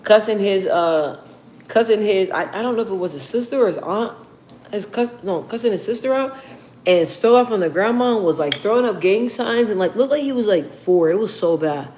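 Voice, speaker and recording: A woman with a low, bassy voice talks casually to her viewers. She wanders from topic to topic, reading their comments aloud and cursing now and then. Her pitch sits in the middle of the usual range for her speech. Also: lively intonation, fairly quick, a little mellow, a little hiss or hum. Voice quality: deep, bassy voice. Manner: rambling, reads viewer comments aloud, swears. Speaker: livestream host.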